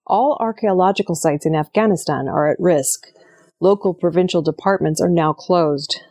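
A woman's voice: lowish voice, vocal fry, warm tone. A woman reading scripted news narration as a news presenter. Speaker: news reader.

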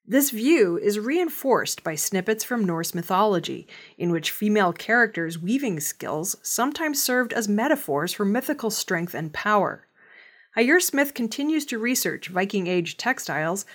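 A woman reading scripted news in a measured, formal delivery. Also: medium pitch. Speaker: news reader.

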